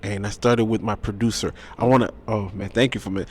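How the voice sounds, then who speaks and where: A man who is a guest musician, chatting casually in an interview, animated and repeating phrases for emphasis. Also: on the low side, quick pace. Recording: faint background noise.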